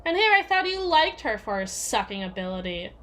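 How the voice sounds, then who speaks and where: A woman's voice: fairly high pitch, big pitch swings, brisk, bright, forceful tone. A woman playing a domineering, jealous yandere with biting sarcasm and a taunting, theatrical manner in an ASMR roleplay. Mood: sardonic, teasing. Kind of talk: ASMR roleplay audio drama.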